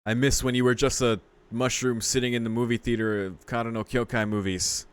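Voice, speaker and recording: A man's voice: mid-range voice, little pitch movement, a little fast, clean tone. A man doing casual gameplay commentary as a video host, reacting with exclamations and mock exasperation.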